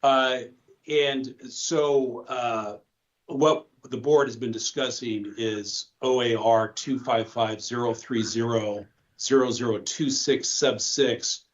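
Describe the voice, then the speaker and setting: A man presiding over a hearing, making formal procedural announcements in a halting, deliberate way, on lo-fi playback. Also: mid-range voice, fairly even intonation. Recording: dull lo-fi audio played through a phone.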